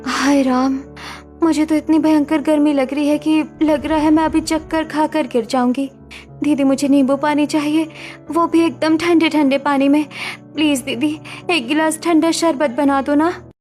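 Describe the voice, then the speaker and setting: A woman's voice: high-pitched, steady tone, normal speed, darkish tone, soft background music, voice pushed up, lightly acted. A woman voicing a pampered young daughter-in-law who complains and pleads in a raised, whiny voice.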